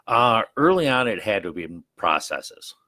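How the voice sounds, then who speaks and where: A man with a Midwestern American accent, a guest on a business podcast, speaking in a relaxed, reflective way and thinking aloud. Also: fairly low pitch, a little slow, no echo. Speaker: podcast guest, staffing agency rep. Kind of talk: casual business chat. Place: studio.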